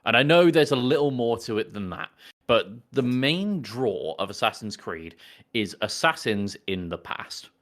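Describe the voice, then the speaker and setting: A man's voice: mid-range voice, big pitch swings, measured pace, fairly bright tone. A man co-hosting a podcast in casual chat, blunt and sweary in the way he talks. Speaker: podcast co-host.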